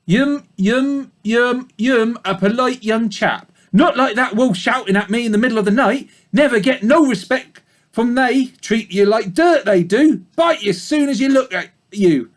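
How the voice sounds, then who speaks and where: A man's voice: very high-pitched, a little slow, pitched above the performer's own voice. A man voicing an old female ewe who speaks in a broad rustic country dialect, drawling and hesitant, as he reads a children's book aloud. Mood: complaining.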